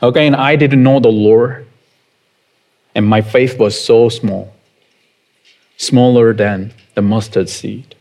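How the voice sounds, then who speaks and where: Slow-speaking Korean-accented pastor. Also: male voice, medium pitch, steady tone, darkish tone. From South Korea. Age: 30s. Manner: unhurried and conversational, chuckling often, asking the congregation questions. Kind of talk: sermon.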